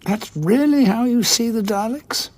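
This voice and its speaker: An actor playing a wry, stubborn, battle-weary Time Lord in an audio drama, with a dry, sardonic delivery. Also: male voice, very high-pitched, measured pace, long reverb tail.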